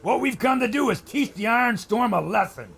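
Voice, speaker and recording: An actor playing a brash, cocky adventurer who wisecracks in old-time slang in an audio drama. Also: male voice, extremely high pitch, expressive, a little fast, vocal fry, fairly bright tone, faint music behind, full character voice. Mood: combative. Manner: punchy, wisecracking.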